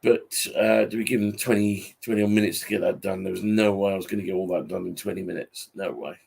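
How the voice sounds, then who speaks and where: British guy in his 40s, tired and grumbly, croaky rough voice, just chatting away, stumbles and repeats himself. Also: low voice, fairly even intonation, darkish tone. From Britain. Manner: halting, repetitive, grumbling. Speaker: YouTube channel host. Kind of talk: casual unscripted monologue.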